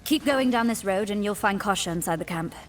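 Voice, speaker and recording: A woman's voice: mid-range voice, expressive, fairly quick, distant mic, soft background music, close to an everyday voice. An actress playing a grateful, shaken young woman in a fantasy game, friendly and a little hesitant. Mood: grateful, relieved.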